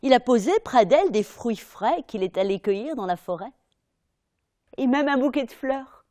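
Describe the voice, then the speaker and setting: A woman's voice: fairly high pitch, animated, moderate pace, close to an everyday voice. A woman performing as a dramatic fairy-tale narrator on stage, emphatic and vivid, with swings in intensity. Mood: tender, hushed. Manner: emphatic, swinging from hushed to loud. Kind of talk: theatrical stage storytelling.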